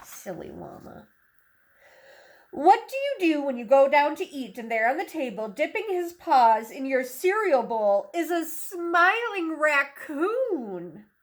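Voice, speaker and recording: A woman teacher reading a picture book aloud to young children in an animated, instructive way, asking questions as she goes. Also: high voice. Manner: instructive, questioning, slow deliberate phrasing.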